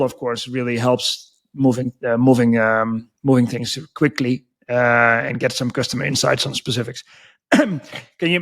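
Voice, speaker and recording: A man's voice: mid-range voice, a bit bright. Male podcast host with a mid-range voice, loose casual questions, sums up and asks for confirmation. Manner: thinks aloud, checks with "is that fair?".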